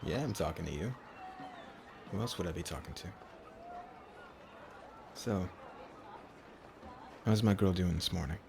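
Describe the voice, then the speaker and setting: Man acting a slow, smug, cocky gang leader. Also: deep voice, expressive, vocal fry, a crowd in the background, background noise, exaggerated voice. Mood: flirty, tender. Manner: smug, teasing drawl. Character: cocky, taunting male gang leader, flirtatious and fearless. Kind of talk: ASMR roleplay acting.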